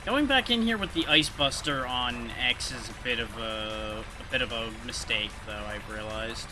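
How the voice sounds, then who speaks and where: A man's voice: medium pitch, moderate pace, background noise. A man giving casual live gameplay commentary as a streamer, thinking aloud and explaining things conversationally. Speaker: video game livestreamer. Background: video game music.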